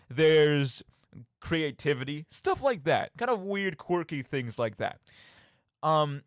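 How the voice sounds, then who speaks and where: A man's voice: fairly high pitch, dry recording, clean studio sound. A man hosting a radio show, giving casual solo commentary on games in an emphatic, rambling way, often interrupting himself.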